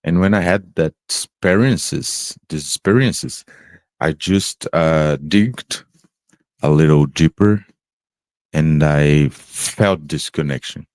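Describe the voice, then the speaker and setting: A man, an English speaker, talking slowly and haltingly about spiritual experiences on a podcast, pausing often to search for words. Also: a bass voice, a little mellow, dry recording. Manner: halting, searching for words, many pauses and fillers. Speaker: podcast co-host. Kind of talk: slow reflective spiritual chat.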